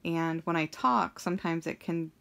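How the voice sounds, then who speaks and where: A young woman with a deep, somewhat monotone voice, chatting in a slow, meandering way with many pauses and ums as she works on a craft for her vlog. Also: creaky. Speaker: craft vlogger. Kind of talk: rambling chat while crafting.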